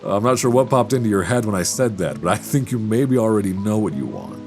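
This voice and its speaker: A handsome, youngish professor played by a man speaks in a deep, expressive voice, close and intimate. He is kindly and bookish, musing and gently flirtatious, as in an audio roleplay. Faint music sits behind him. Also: a little fast. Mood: tender, teasing. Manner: soft chuckles, reassuring, gently teasing, musing. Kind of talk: intimate audio roleplay, one side of a conversation. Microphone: close binaural microphone.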